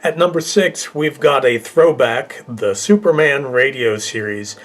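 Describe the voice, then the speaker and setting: Mid-pitched man presenting a list. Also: a little slow. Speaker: video host. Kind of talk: casual scripted list presentation.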